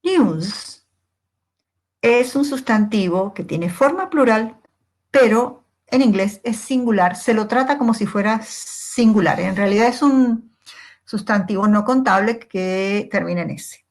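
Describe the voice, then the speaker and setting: A woman's voice: mid-range voice, lively intonation, a little slow. A woman teacher giving a step-by-step grammar lesson in a didactic way, posing questions and answering them herself. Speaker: English teacher.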